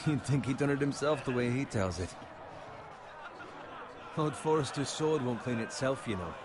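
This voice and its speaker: Casting: male actor as a mocking young camp soldier for a fantasy game, medium-pitched, busy noise behind. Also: expressive, moderate pace, lightly acted. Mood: teasing. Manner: blunt, mocking. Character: cocky, teasing young soldier in a medieval army camp. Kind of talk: video game fantasy acting.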